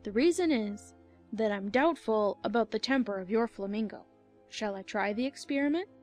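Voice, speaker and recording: A woman acts out a storybook duchess, affected and sententious. She gushes in a fairly high voice, with soft music behind her. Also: lively intonation, normal speed, close to an everyday voice. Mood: smugly moralizing. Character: gushing, moralizing, clingy Duchess. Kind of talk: dramatized audiobook acting.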